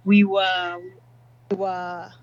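A woman's voice: on the low side, level tone, very slow, no echo, lo-fi sound. A Kenyan woman as a podcast guest, answering casually with many ums and restarts over a video call.

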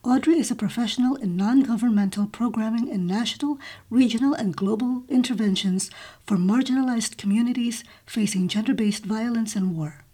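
A woman's voice: a little high, brisk, mellow. A woman hosting a podcast, reading a guest introduction and then asking questions. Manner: reads the intro smoothly, then asks questions with ums. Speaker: podcast host.

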